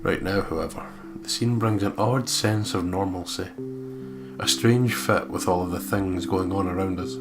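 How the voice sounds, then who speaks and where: A man with a low voice co-hosts a horror game playthrough. He reads story narration aloud and chats in a joking, sweary way. Guitar music plays clearly underneath. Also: moderate pace. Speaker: let's play co-host and narrator. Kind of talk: casual banter and read-aloud visual novel narration.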